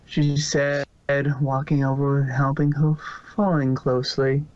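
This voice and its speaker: A man's voice: mid-range voice, little pitch movement, a little slow, darkish tone, dry recording. Male story narrator, muffled dry sound. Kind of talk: scripted story narration of fan fiction.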